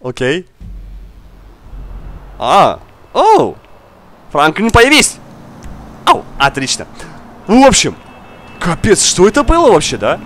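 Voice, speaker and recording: Male gaming streamer, young adult, high swooping voice, slightly unwell, excitable and chatty, repeats himself. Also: slow pace, pauses often, slight background noise. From outside Russia. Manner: animated, exclaiming, repeats words, talks to viewer. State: slightly ill, coughing now and then. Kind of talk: excitable casual gameplay commentary. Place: indoor room, seated at racing wheel.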